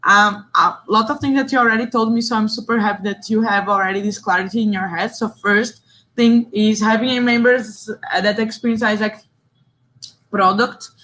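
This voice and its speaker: A woman's voice: medium pitch, fairly even intonation, moderate pace, a bit bright, low-quality recording. Brazilian woman leading an online workshop. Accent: Brazilian Portuguese. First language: Portuguese. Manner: reads chat replies aloud, short praising interjections. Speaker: session facilitator.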